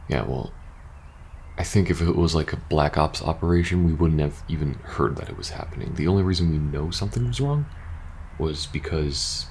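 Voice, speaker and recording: Very deep-voiced weary soldier, acted by a man. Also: animated, vocal fry, a little mellow, slight background noise, exaggerated voice. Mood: puzzled, grumbling. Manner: hesitant, halting, casual swearing, sighing. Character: weary, uneasy male soldier confiding in best friend, post-apocalypse. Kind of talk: ASMR audio roleplay acting.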